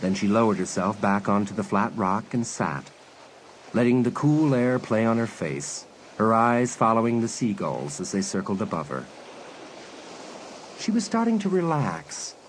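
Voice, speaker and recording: Low-voiced, expressive male audiobook narrator. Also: a little hiss or hum. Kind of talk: scripted dramatic audiobook narration.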